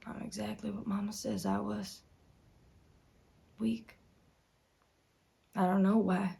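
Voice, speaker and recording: A woman's voice: a little high, steady tone, a little slow, vocal fry, fairly bright tone. A woman acting a desperate, tormented young farm wife in an intense dramatic film monologue. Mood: hushed, fragile. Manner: intense, swinging from whispers to anguished outbursts. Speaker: acting student.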